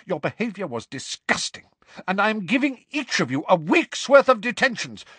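A strict, furious schoolmistress from a storybook, pitched far above a normal male voice. The delivery is clipped and scolding, with big swings in pitch and a close, dry sound. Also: sing-song, normal speed, fairly bright tone, husky, no room sound, full character voice. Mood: stern, angry.

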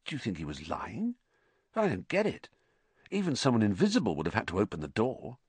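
Tired, aching boy wizard, read by a man; low, hushed, weary. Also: wildly swooping pitch, brisk, slightly rough, dry recording, heavily acted. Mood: puzzled. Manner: groaning, weary. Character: tired, sore twelve-year-old boy wizard. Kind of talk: audiobook character voice.

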